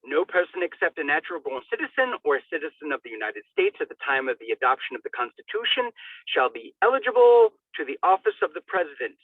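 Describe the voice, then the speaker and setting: A man's voice: on the high side, no room sound. A man giving a theatrical dramatic reading of a formal document in a declamatory manner, now and then stumbling and correcting himself. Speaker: reader. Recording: narrow tinny telephone-like radio sound.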